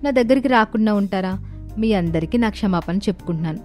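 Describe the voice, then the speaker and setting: A woman's voice: mid-range voice, quick pace, a little mellow, soft background music, natural voice. A woman playing a proud, emphatic young aunt in a cartoon moral story. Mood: regretful. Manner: stern, emphatic, scolding. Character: proud, indignant young aunt, quick to soften.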